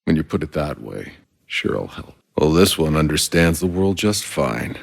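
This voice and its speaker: A man playing a gruff, weary, sarcastic soldier as a video game protagonist, terse and hard-bitten with a deep, gravelly voice. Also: lively intonation, moderate pace, full character voice. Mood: dry, weary. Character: gruff, weary, sarcastic male Outrider soldier on a hostile planet. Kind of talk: dramatic video game voice acting.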